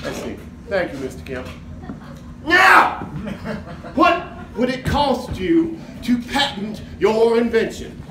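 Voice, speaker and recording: Very high-voiced, bombastic prosecutor. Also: male voice, very animated, full character voice. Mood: sly, probing. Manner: theatrical, sneering, drawn-out oratory. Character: sly, bombastic, accusing male courtroom prosecutor. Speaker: actor in a stage play. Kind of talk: stage adaptation of a 1950s radio drama. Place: live theatre stage. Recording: echoey theatre with background noise.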